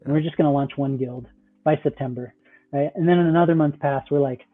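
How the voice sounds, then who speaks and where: A man with a fairly high voice comes through on a thin, phone-like connection. He answers interview questions casually, explaining things in loose, conversational phrases. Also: a little fast. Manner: loose, explanatory, peppered with "like" and "you know". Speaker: startup founder, interview guest. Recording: thin, phone-like call audio.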